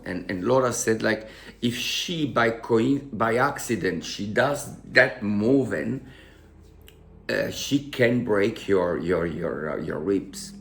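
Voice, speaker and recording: An Italian man speaks slowly and unhurriedly, pausing often and repeating words as he works through his English. His voice sits fairly low, and he talks with open emotion as a vlogger addressing his viewers. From Italy. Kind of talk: casual storytelling to camera.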